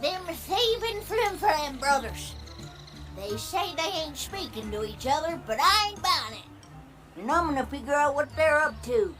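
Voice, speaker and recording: A woman's voice: high voice, a little slow. A woman voicing a feisty, suspicious elderly granny pony in a cartoon comic dub, with a folksy drawl. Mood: determined. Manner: folksy drawl, scolding, animated. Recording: loud music underneath.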